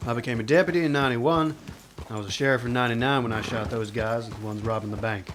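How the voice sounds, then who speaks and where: A man voices a weary ex-outlaw turned sheriff in a radio play, terse and guarded, with dry, clipped answers. He sits at a middling pitch, with a faint hiss behind him. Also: fairly even intonation, fast, a bit bright, natural voice. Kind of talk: radio drama acting.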